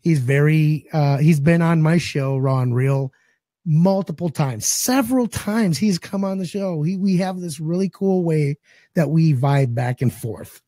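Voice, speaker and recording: A man's voice: fairly high pitch, unhurried, mellow, no room sound, studio quality. A man in his forties co-hosting a casual talk show, joking and cursing bluntly, his voice still cracking after losing it. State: recovering voice, some cracking. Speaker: podcast co-host. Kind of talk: casual chat.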